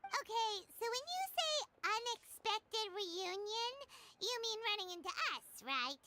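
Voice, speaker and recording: A woman's voice: sing-song, unhurried, background noise, full character voice. A woman voicing a tiny, chirpy, childlike fairy companion in an anime game, eager and cheeky. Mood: cheeky, curious. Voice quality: squeaky, childlike.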